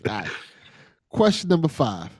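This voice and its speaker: A man's voice: on the high side, wildly swooping pitch, normal speed, darkish tone, husky, dry recording, clean studio sound. A man hosting a casual interview, chatting in a playful, teasing way. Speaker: podcast host interviewer.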